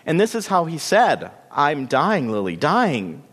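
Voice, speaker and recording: A man voicing a small, meek, sorrowful lily flower who speaks in a soft, hesitant, plaintive way. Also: fairly high pitch, huge pitch swings, measured pace, husky, voice pushed up, exaggerated voice. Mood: earnest, grieving. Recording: long reverberant hall sound.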